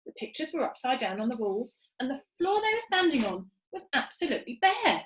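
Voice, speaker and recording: A woman storyteller reads aloud to children. Her voice is high and very animated, bringing the narration out expressively. The room is noticeably echoey. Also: a little fast.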